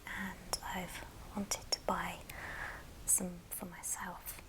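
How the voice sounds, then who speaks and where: Woman doing asmr show and tell, all whispered, really slow and gentle. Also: on the low side, flat delivery, rough recording. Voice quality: breathy unvoiced whisper. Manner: gentle, unhurried, pausing between short phrases. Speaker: ASMR video host.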